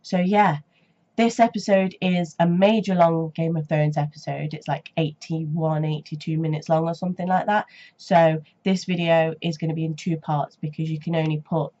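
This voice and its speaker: Woman, young adult, congested from a cold, sore throat, low voice, rambling with ums, dull lo-fi recording. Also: fairly even intonation, moderate pace, warm tone. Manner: rambling and hesitant, many ums and restarts. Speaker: reaction channel host. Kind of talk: casual reaction vlog chat.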